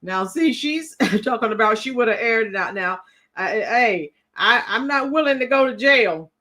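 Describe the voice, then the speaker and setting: A woman's voice: a little high, lively intonation, unhurried, sharp tone. A woman hosting a casual live reaction show, emphatic and exclamatory, talking straight to her viewers. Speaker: livestream host reacting to news and viewer comments.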